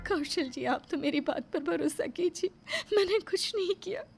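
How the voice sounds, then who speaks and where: A woman acts out an earnest young daughter-in-law in a storybook drama. Her voice is high, and she speaks politely, with the sincerity of someone who has been wronged. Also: fairly even intonation, moderate pace, soft background music, lightly acted. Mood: tearful pleading. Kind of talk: dramatised moral story acting.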